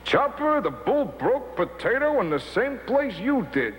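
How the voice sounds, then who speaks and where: A man's voice: very high voice, very animated, measured pace, heavily acted. A man playing a patient temple master who quizzes his pupil theatrically in a children's educational TV comedy. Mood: encouraging. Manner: theatrical, questioning, teacherly. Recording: noisy old TV soundtrack.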